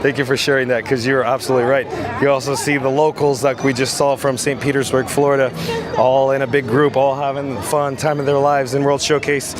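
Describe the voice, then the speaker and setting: A hoarse man hosting a live walking vlog outdoors, coughing now and then and reading viewer comments aloud. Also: mid-range voice, little pitch movement, brisk. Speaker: vlogger host. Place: outdoors at a busy theme park. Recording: loud crowd and outdoor noise. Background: crowd chatter.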